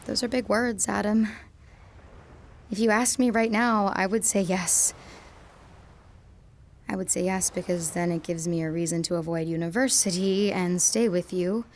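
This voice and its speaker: A woman performs a high school girl in a radio play, deeply in love and unsure of herself. Her medium-pitched voice is emotional and searching, leaning hard on her words. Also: fairly even intonation, normal speed, long pauses, close to an everyday voice. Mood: wistful, resigned. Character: lovestruck, intense high school girl, self-doubting. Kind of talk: dramatic audio monologue.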